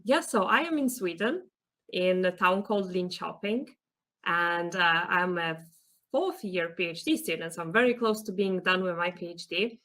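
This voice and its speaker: A woman's voice: medium pitch, pushed and bright. A young woman from Lithuania, a PhD student, explaining her research earnestly as an interview guest. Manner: earnest, explanatory, some hesitations.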